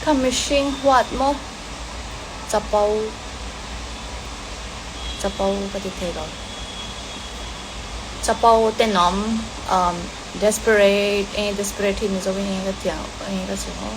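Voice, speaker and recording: A woman answering fan questions in a relaxed, hesitant way, mixing several languages and pausing with fillers. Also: mid-range voice. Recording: steady background hiss.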